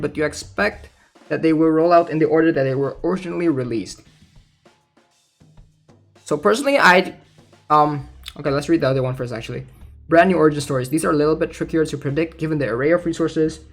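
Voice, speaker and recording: A young man giving casual, informal game news commentary as a YouTuber, thinking out loud in a hesitant way. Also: fairly high pitch, creaky. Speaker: gaming YouTuber. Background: music.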